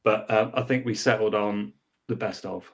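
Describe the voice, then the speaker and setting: A man's voice: lowish voice, fairly even intonation, normal speed. A man from Northern Ireland with a mild Northern Irish accent, a band member chatting as a guest, laughing often and repeating words as he thinks. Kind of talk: casual chat.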